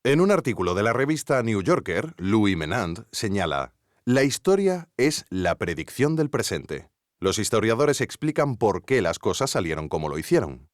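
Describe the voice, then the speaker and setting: A man's voice: lowish voice, big pitch swings, moderate pace, dry recording, clean studio sound. A man with an accent from Spain, playing an investigative journalist narrating a scripted documentary series. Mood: measured, wry. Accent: Spanish from Spain. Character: male investigative journalist narrating his inquiry.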